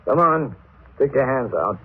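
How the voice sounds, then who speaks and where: An actor playing a gruff, drowsy small-town desk sergeant in an old radio drama. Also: male voice, medium pitch, lively intonation, unhurried. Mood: alarmed, commanding. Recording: muffled old radio recording.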